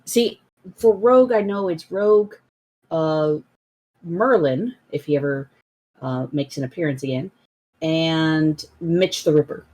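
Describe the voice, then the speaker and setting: A woman in her thirties chatting casually as a guest on a live stream, blunt and animated, often cutting in on the others. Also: low voice, a little slow, rough recording. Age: 30s. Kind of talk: casual chat.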